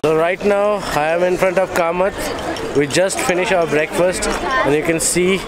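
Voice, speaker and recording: A man's voice: high-pitched, fairly even intonation, moderate pace, loud music behind the voice. A man in his thirties with an Indian English accent, chatting into a phone camera held at arm's length as a travel vlogger. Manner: chatty, talks straight to a handheld camera. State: tired near the end. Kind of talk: casual travel vlog. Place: outdoors by roads, in a car and in hotel rooms. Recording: outdoor traffic noise.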